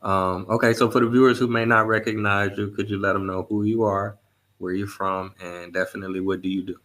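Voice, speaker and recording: A man's voice: lowish voice, flat delivery, a little fast, a bit bright. A man hosting a podcast interview over a video call, polite and formally courteous with his guest. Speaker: podcast host.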